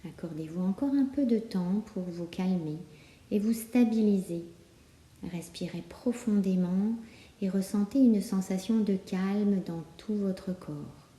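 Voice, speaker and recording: Slow, very mellow woman guiding relaxation. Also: mid-range voice. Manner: calm, soothing instruction with many pauses. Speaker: yoga nidra guide. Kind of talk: guided relaxation meditation. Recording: roomy, echoing sound.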